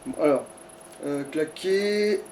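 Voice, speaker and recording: A man's voice: fairly high pitch, takes it slowly, darkish tone. A man commenting casually on his game with a friend, grumbling and swearing freely. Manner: foul-mouthed, grumbling. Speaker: let's player. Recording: distant mic, faint background noise.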